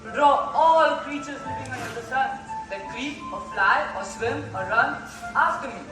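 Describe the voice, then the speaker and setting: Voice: high-pitched, moderate pace, lightly acted. A young student actor playing a courteous, boastful stranger who offers his services in rhymed stage verse. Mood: courteous, self-assured. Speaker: student actor in school play. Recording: echoey school hall from far, music behind.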